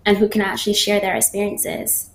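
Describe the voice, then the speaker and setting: A young Barbadian woman in her twenties, a guest athlete, answering interview questions in a relaxed, giggly way with lots of ums. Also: mid-range voice, brisk, echoey room, low-quality recording.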